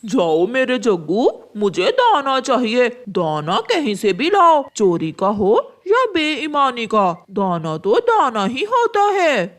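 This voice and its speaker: A woman's voice: a little high, huge pitch swings, takes it slowly, hardly any pauses, exaggerated voice. A woman voicing a greedy, unscrupulous crow parent in a cartoon. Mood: demanding. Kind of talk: cartoon voice acting.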